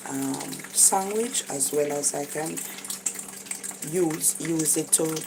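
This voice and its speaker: A woman's voice: low voice, slow pace, gravelly. A tired Jamaican woman narrating a casual cooking vlog in a small kitchen, hesitant, with many fillers and restarts. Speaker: cooking vlogger. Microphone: phone held above her. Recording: phone audio with kitchen noise.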